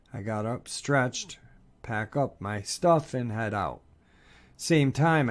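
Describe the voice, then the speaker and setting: A man narrating listeners' first-person encounter stories in a steady, measured read. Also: medium pitch.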